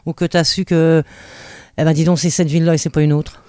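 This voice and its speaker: A man's voice: on the high side, flat delivery, brisk, mellow, clean tone, dry recording, clean studio sound. A man interviewing a musician, chatty, asking leading questions and rephrasing his guest's answers. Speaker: radio interviewer. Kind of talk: casual interview.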